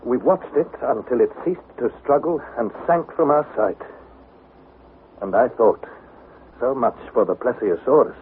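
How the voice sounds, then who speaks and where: An actor playing an earnest young explorer, narrating his expedition in measured, dramatic vintage radio style. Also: medium pitch, expressive, natural voice. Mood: wondering. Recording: tinny, hissy vintage radio broadcast.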